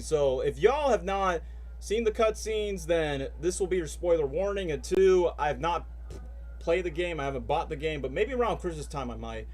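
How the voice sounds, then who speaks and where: Male streamer, rambling and heated, slightly high pitch. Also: a little mellow. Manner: rambling, heated ranting with fillers. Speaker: streamer and voice actor. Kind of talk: casual live-stream reaction chat.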